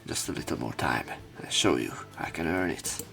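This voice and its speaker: A man's voice: very deep voice, animated, normal speed, creaky, music in the background, exaggerated voice. A man voicing a determined, strategic young student hero from a visual novel in an earnest, dramatic manner. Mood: resolute.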